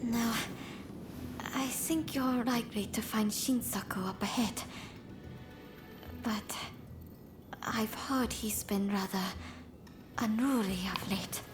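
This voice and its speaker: A woman voicing a gentle, worried young wife in samurai-era Japan for a video game, polite, soft-spoken and earnest. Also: a little high, slow pace, pauses often, background noise. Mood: anxious, grateful. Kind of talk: dramatic video game voice acting.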